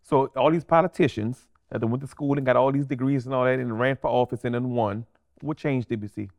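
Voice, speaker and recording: Podcast guest: man, mid-pitched, rapid delivery, New Orleans accent, emphatic and slangy. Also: steady tone, darkish tone. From New Orleans, USA. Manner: blunt, slangy, emphatic with repeated phrases. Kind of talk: casual, animated interview chat.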